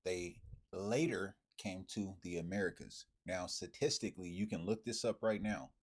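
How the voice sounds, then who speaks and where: A man's voice: deep voice, normal speed, breathy edge. A man delivering a heated, profane monologue, firing off rhetorical questions at his viewers. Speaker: commentator.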